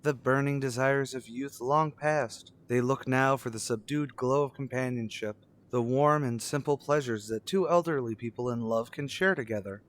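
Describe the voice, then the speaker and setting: A man playing an eager teenage high school student in an old-time radio sitcom, florid, earnest and cheeky. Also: normal speed, hardly any pauses, close to an everyday voice.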